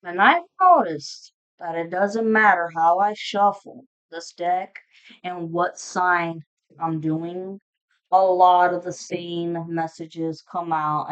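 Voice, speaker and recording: Low, clear-voiced woman, slightly muffled recording. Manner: blunt, foul-mouthed, informal. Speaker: tarot reader hosting a zodiac reading. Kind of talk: casual, unscripted tarot reading addressed to viewers.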